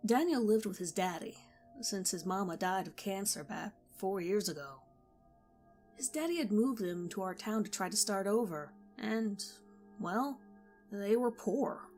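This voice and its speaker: Calm woman narrating a spooky tale. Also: medium pitch. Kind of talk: scripted narration of a horror story.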